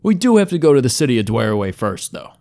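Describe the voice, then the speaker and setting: A man's voice: medium pitch, big pitch swings, quick pace, mellow. A man voicing a flippant, casual adventurer with slangy, offhand speech in a fantasy audiobook. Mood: breezy. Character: breezy, slangy adventurer companion of a fantasy party.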